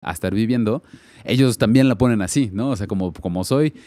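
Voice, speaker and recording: A man's voice: on the low side, fairly quick, dark tone. A man in a casual discussion, explaining at length and thinking aloud with fillers and tag questions.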